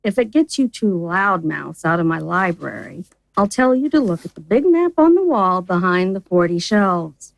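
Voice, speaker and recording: An actress playing a snide, amused librarian in an audio drama, dry and condescending. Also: female voice, fairly low pitch, very animated, moderate pace, creaky, no room sound, poor audio. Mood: snide amusement. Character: snide, amused female librarian, curtly helpful.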